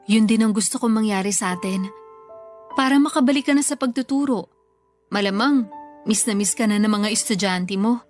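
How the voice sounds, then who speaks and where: A woman's voice: mid-range voice, normal speed, long pauses, a little mellow, soft background music, lightly acted. An actress playing a grateful, affectionate older sister and mother in a sentimental radio drama, speaking tenderly and earnestly. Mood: proud, affectionate.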